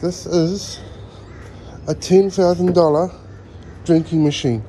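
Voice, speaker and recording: Slow, relaxed New man outdoors. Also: on the high side, slight background noise, rough recording. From New Zealand. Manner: relaxed, rambling, with hesitant fillers. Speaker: vlogger. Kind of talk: casual walking tour vlog. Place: outdoors at a school grounds.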